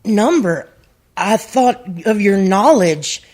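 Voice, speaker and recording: A woman's voice: mid-range voice, animated, takes it slowly, darkish tone. A woman playing an earnest young federal agent in a radio comedy parody, probing and confrontational. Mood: challenging. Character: earnest, challenging young female FBI agent.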